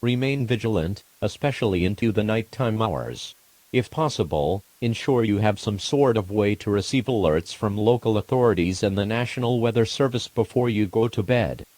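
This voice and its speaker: A man voicing an impersonal official emergency broadcast announcer, reading a formal alert in a measured way. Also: on the low side, darkish tone, dry recording, close to an everyday voice. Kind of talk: scripted emergency alert narration.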